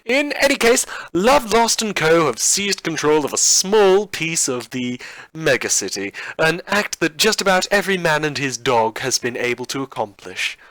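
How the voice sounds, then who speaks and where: Man playing a whimsical, sardonic radio host with swooping pitch. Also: sing-song, normal speed, fairly bright tone, no room sound, poor audio. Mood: dry, mocking. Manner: theatrical, arch, dryly ironic delivery. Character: whimsical, sardonic male radio host, self-confessed killer. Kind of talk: scripted satirical radio news monologue in fiction podcast.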